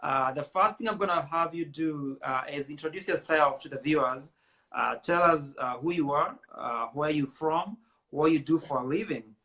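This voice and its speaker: A man hosts a casual live-stream chat with a bright, forceful voice. He pitches a little high and fills his talk with many hesitant uhs. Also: normal speed. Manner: many filler uhs, greets viewers by name. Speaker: show host.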